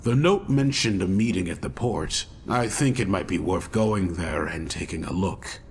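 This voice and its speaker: A serious, resolute investigator in a mystery game. His voice is low with very animated intonation as he briefs a detective. Faint noise sits behind him. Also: moderate pace. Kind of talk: scripted game cutscene dialogue.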